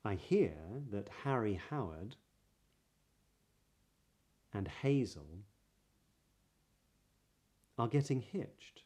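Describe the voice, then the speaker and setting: A man with a southern British English accent giving a pronunciation lesson as a voice coach, explaining clearly and modelling sounds. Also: lowish voice, big pitch swings, drawn-out words, long pauses, a little mellow. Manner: clear, demonstrative, breathy example sounds.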